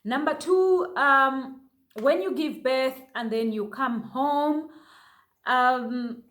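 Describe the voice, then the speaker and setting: A woman's voice: high-pitched, very slow, a bit bright. A young woman from Zambia telling viewers about her experience as a new mother, in a chatty way with many fillers and restarts. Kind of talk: casual personal storytelling to viewers.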